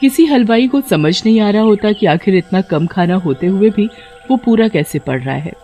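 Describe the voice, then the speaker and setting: A man's voice: sits high, expressive, moderate pace, warm tone. A man narrating a scripted story as a storyteller. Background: music.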